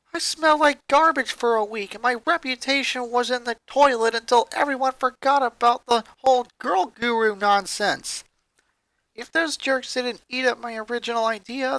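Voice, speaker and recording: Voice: high-pitched, pushed and bright, no echo, pitched above the performer's own voice, low-quality recording. A story reader voicing a hurt preteen boy who confides his grievances in a sullen way.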